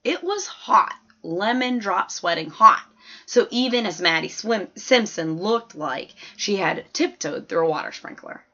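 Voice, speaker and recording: A woman's voice: medium pitch, expressive, measured pace, pushed and bright, full character voice. Casting: woman to play an observant, plain-talking fourth-grade girl narrating an audiobook, folksy and earnest, mid-range voice, slightly lo-fi sound. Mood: chatty, matter-of-fact. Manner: chatty, folksy, emphatic childlike storytelling. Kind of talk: scripted first-person story narration.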